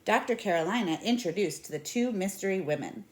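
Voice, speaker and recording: A woman in her thirties reading a picture book aloud in an expressive, deliberate way. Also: medium pitch. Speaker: read-aloud storyteller. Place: small indoor room at home.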